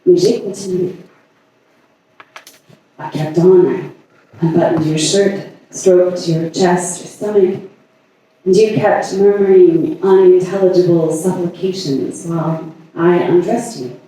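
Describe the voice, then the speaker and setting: A man performing a staged monologue as a lovelorn woman haunted by obsessive passion, halting and deliberate with long pauses. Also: high-pitched, steady tone, mellow. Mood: haunted, confessional. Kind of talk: staged dramatic monologue reading. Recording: echoey, distant stage recording.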